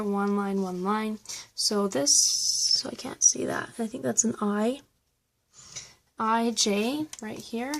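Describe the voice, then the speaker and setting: A woman math teacher talking through review problems step by step, slightly hoarse from losing her voice. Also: mid-range voice, a little hiss or hum. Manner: patient, step-by-step explaining. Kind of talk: recorded math review lesson.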